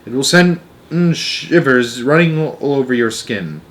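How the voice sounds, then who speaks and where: A man plays an old storybook grandmother, grave and knowing. The delivery is emphatic and matter-of-fact, relishing grim details, with lively rises and falls in pitch. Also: a little slow. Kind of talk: storybook read-aloud character.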